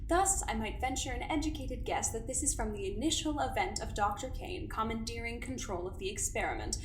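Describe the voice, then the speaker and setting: A woman's voice: fairly high pitch, brisk, roomy sound, a little hiss or hum, close to an everyday voice. Dr. Calvin, a silky manipulative doctor, voiced by a woman. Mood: coolly probing. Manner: silky, condescending, probing. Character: smooth, manipulative female doctor interrogating coldly. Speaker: doctor and investigator. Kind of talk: audio drama acting.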